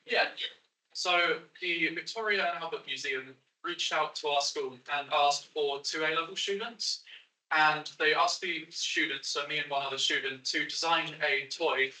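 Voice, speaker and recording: An eighteen-year-old man, loud and chatty, telling his story with rambling asides. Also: a little high. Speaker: young maker and guest. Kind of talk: casual spoken story. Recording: roomy sound from a distant mic.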